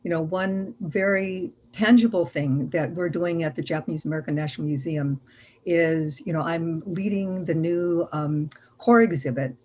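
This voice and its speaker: A woman's voice: deep voice, normal speed, darkish tone, a little hiss or hum. A woman curator speaking on a panel in an earnest way, with frequent fillers. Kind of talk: panel discussion.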